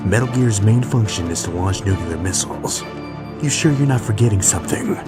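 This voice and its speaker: A man voicing a stern, battle-hardened soldier with a gravelly growl and terse delivery, as in a video game dub. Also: lowish voice, a little fast, pitched below the performer's own voice, lightly acted. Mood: probing, grim. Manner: terse, interrogating, deadpan. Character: gruff, stern, battle-hardened male soldier, a lone operative. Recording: loud music underneath. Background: loud music.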